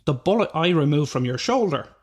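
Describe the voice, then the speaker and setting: A man playing a calm, formal doctor in a radio thriller, measured and matter-of-fact. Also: fairly high pitch, husky. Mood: patronizing, firm.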